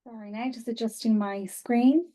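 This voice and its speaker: A woman's voice: fairly high pitch, normal speed, dark tone. A woman presenter whose first language is Irish Sign Language, apologetic and slightly flustered on a video call. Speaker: webinar presenter. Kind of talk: casual talk while setting up on a video call.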